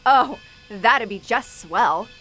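A young woman bank teller on the run in a period radio drama. Her voice is high, brassy and nervous. She snaps out slangy wisecracks over faint music. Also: lively intonation, takes it slowly, sharp tone, heavily acted, rough recording. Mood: anxious. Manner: snappy, slangy wisecracking delivery.

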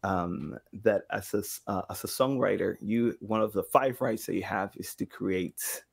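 Man in his thirties, halting conversational talker. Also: on the low side, vocal fry, husky. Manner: halting, searching for words, animated quoted asides. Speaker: guest, sound designer and community engagement director at a game studio. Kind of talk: casual podcast interview. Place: small home studio room, indoors. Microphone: microphone on boom arm.

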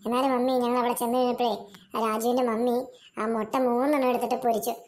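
A woman's voice: on the high side, flat delivery, fast talker, a little mellow, voice pushed up, natural voice. A woman voicing a young boy who whines and pleads with his mother. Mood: telling tale. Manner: whiny, pleading. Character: young boy, greedy and sulky, easily sorry.

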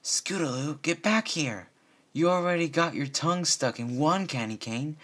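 Man as female pony, bossy, exasperated, nagging, high-pitched for him, story character. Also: lively intonation, normal speed, fairly bright tone.

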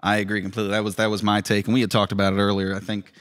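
Quick-talking man with a lowish voice. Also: monotone, fairly bright tone. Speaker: podcast co-host. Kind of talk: casual chat. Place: large indoor studio room. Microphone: boom-mounted studio mic.

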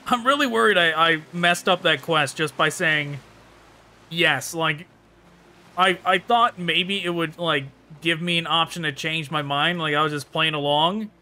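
Bright, higher-voiced man commentating gameplay. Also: a little slow. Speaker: gaming YouTuber, let's player. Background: game music and sounds.

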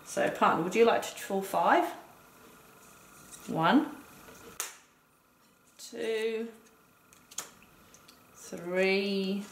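A woman's voice: mid-range voice, very slow, long pauses. Woman in a roomy, distant recording. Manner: explains step by step, counting aloud. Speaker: teacher demonstrating a board game. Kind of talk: instructional game play-through talk, addressing a partner. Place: indoor room, game on a table. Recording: roomy, distant mic with noise.

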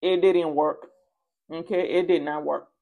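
A woman's voice: sits low, measured pace, creaky. Woman giving a casual tarot reading. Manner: playful, animated storytelling with quoted asides and slangy endearments. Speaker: tarot reader and channel host. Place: indoors at a card table.

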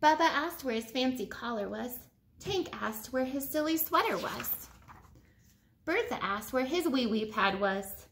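A woman in her thirties reading a picture book aloud to children like a teacher, with expressive, emphatic phrasing. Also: high-pitched, unhurried, slight background noise. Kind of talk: children's storybook read-aloud. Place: living room at home, indoors.

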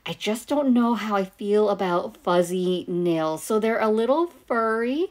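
A hoarse woman chatting easily through a voiceover for her nail unboxing and manicure video. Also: medium pitch. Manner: chatty, easygoing, thinking aloud. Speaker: nail vlogger.

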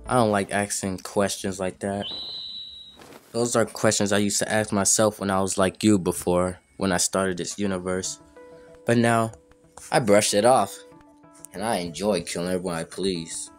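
A man voicing a seasoned, cocky fighter mentoring a newcomer in an amateur game roleplay, deliberate and ominous. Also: lowish voice, steady tone, faint music behind, natural voice. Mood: cold, menacing.

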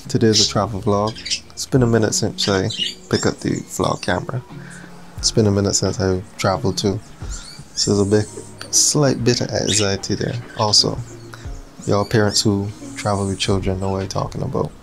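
A man in his thirties chats easily to the camera, his voice a little low with a gravelly edge. He sounds relaxed, as if nothing much could hurry him. Music plays underneath his words. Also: moderate pace. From Barbados. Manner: laid-back, easygoing. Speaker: vlogger. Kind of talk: casual vlog talk to camera. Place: hotel room.

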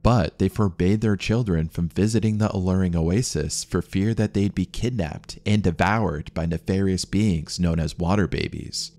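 A man narrating a scripted documentary in a measured, steady storytelling manner. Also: low-pitched.

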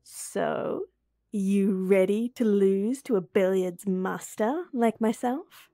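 A woman's voice: medium pitch, slow, warm tone. A woman voicing a young woman who teases her childhood friend while hiding a crush, switching between whispered inner thoughts and playful banter in an audio roleplay. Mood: giddy, nervous. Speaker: voice actress.

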